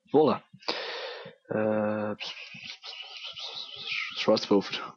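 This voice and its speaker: A man's voice: fairly low pitch, animated, very slow, husky, dry recording, muffled recording. A young man with a Bernese Swiss German accent, rambling and mocking himself while playing a game, with a cold. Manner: rambling, self-mocking, thinking aloud. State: has a cold. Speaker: let's play gamer. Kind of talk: casual gameplay commentary.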